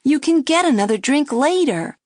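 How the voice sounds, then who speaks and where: An anxious young woman, as a narrator puts her on, her voice raised high and pressing with urgency. She pleads and hushes in turn, with a lively, tense lift to every line. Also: normal speed, no room sound, clean studio sound. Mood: pleading.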